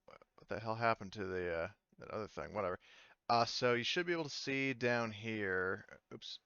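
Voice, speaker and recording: A man working as game master, narrating casually in a halting, loose, conversational way over home voice-chat audio. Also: fairly low pitch, sharp tone, smooth, no echo, lo-fi sound. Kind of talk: casual narration of an online tabletop roleplaying game.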